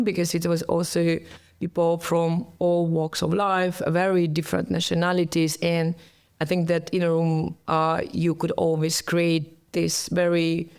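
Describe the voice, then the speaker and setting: Smooth, flat-toned woman host. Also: on the low side, unhurried. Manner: hesitant, non-native phrasing. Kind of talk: interview host.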